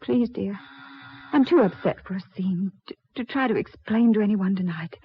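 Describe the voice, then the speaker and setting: An actress playing a fearful young widow, pleading breathlessly in melodramatic 1940s radio style. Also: female voice, medium pitch, normal speed, slight background noise, natural voice. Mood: anxious urging. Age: young adult. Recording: muffled 1940s radio recording.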